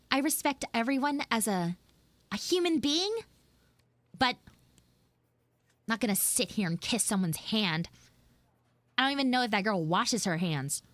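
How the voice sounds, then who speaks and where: A sassy tsundere frog girl of high school age, voiced by a woman chats in a live roleplay. Her voice sits fairly high and swings widely in pitch as she rambles bluntly and swears. The sound is dry and close, with little room to it. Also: a bit bright, dry recording. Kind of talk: improvised live audio roleplay, casual chatty monologue.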